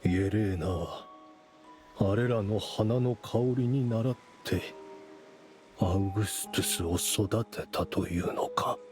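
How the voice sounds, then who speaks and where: A man voicing a solemn, proud and resigned older mentor with measured, formal speech in a dramatic anime game dub. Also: lowish voice, music in the background. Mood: grave questioning.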